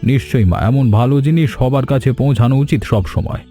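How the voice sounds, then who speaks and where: A man voicing a kindly elderly village man in an animated cartoon, speaking gently and fatherly. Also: medium pitch, quick pace, faint music behind, close to an everyday voice. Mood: approving. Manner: gentle, fatherly, calls others "ma".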